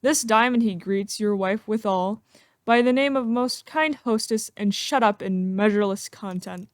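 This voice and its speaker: A woman playing a loyal, watchful soldier and father in an amateur Shakespearean dramatic reading, with measured, earnest delivery. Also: medium pitch, dry recording, clean studio sound, natural voice. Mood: courteous. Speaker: amateur performer in a library reading.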